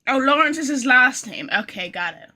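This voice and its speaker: High bright girl streamer voice, woman chatting away, swears a bit, music behind her. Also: animated, moderate pace. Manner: sweary, self-deprecating, informal. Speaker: game streamer. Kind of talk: casual live gameplay chat, reading some lines aloud. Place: bedroom.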